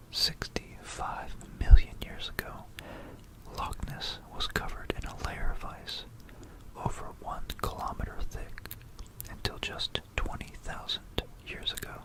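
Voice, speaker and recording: Hushed ASMR whisperer, fully unvoiced. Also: unhurried, poor audio. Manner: rambling, musing asides. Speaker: ASMR video creator. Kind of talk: whispered ASMR, informal talk mixed with reading aloud. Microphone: close stereo binaural microphone.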